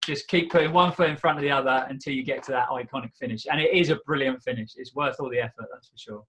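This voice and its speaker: A man with a slightly croaky voice, a podcast guest chatting casually about trail running, laughing often and filling pauses. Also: mid-range voice, a bit bright, low-quality recording. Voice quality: laughs often, easygoing, says "um" and "you know" often.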